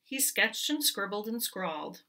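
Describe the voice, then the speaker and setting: A woman reads a children's picture book aloud, slow and unhurried, her voice bright and clear. Her pitch swings widely as she leans into the rhythm of each line, and she sits a little back from the microphone. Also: distant mic. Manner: expressive, rhythmic storytelling. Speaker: teacher reading aloud.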